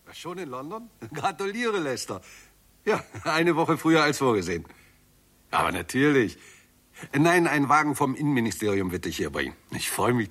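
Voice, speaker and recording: A man playing a hearty, confident, brisk scientist in a 1960s German radio play. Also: medium pitch, a bit bright. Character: hearty, lively male scientist, middle-aged, confident.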